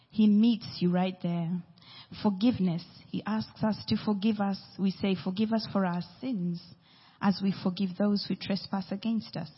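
A woman from Uganda teaching a sermon on prayer, slow and deliberate, lingering over each name she recites. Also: medium pitch, breathy edge, big-room sound, dull audio. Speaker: church speaker.

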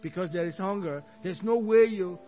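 High-voiced Nigerian pastor preaching. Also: male voice, moderate pace, faint music behind. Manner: emphatic preaching, quoting scripture, chuckling asides to the congregation. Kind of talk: evening church sermon. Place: church sanctuary. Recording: dull lo-fi church recording.

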